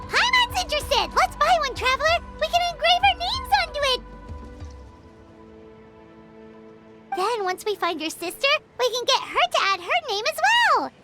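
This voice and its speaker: A woman voicing a tiny, excitable, chatty female fairy companion in a video game, with a squeaky childlike voice and exclamatory delivery. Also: brisk, few pauses, over loud music. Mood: excited. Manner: exclamatory, refers to self in third person.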